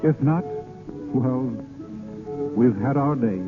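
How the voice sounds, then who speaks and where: Actor playing an ardent poet-rogue, old muffled radio. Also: male voice, mid-range voice, slow pace, close to an everyday voice. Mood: resolute, wistful. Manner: florid, theatrical. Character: ardent romantic poet-rogue turned grand marshal. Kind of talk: 1930s-40s radio acting. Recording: muffled old radio broadcast, loud music.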